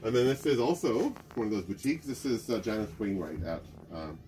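A man curator chatting casually about clothes on a rack, often pausing to search for names, recorded by a camera in a museum basement storage room. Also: on the low side, animated, dark tone, slight background noise, rough recording. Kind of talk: casual show-and-tell chat.

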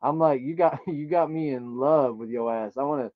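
A man's voice: medium pitch, normal speed, no room sound, lo-fi sound. A man doing a prank call on a livestream, bantering in a flirtatious, crude, effusive and slangy way. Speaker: live streamer making a prank call.

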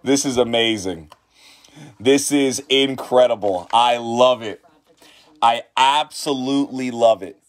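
A man runs a card-reveal stream from his shop desk, his voice sitting at an ordinary middle pitch. His excitement keeps building as he repeats his praise over and over. He sounds like a regular host talking to his viewers. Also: slow pace. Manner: hyped, repeats himself.

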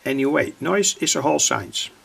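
A man giving an unscripted hands-on demonstration as a hobbyist, speaking haltingly in short phrases. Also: on the high side, gravelly, a bit bright, slight background noise. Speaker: hobbyist radio builder presenting. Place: indoor home workbench. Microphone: handheld camera's built-in microphone. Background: shortwave radio playing.

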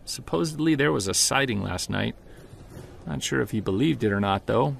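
An actor playing a driven, weary Bigfoot investigator in an audio drama, earnest and conversational. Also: male voice, mid-range voice, lively intonation, moderate pace, creaky, a little hiss or hum. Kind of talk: audio drama, first-person narration and dialogue.

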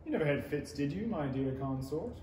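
A man's voice: fairly high pitch, animated, brisk, a little mellow, natural voice. A young man playing a muddled, self-satisfied king in a 1930s-style radio play, hemming and musing pompously. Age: young adult. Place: indoor stage in a small theatre. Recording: echoing stage pickup, faint noise.

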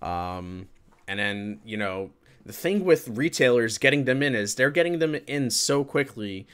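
Animated man chatting on a livestream. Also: medium pitch, measured pace, clean tone. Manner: animated, colloquial, reacting to chat. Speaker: livestream host. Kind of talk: casual chat with live viewers. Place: indoor room with green screen.

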